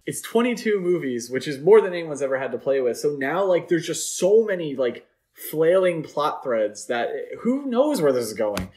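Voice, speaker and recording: A man's voice: fairly high pitch, very animated, moderate pace, hardly any pauses, darkish tone. A man hosting a podcast, chatting casually about films in a rambling, opinionated way. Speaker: podcast host. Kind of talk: casual film discussion chat.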